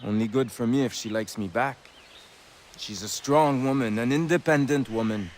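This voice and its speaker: A smitten male frontiersman played by an actor with a French accent, hesitant and sincere in a video game scene. His voice is mid-pitched, over faint outdoor insect ambience. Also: moderate pace, a little hiss or hum, lightly acted. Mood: smitten, earnest. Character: lovestruck, hesitant frontiersman, earnest and admiring.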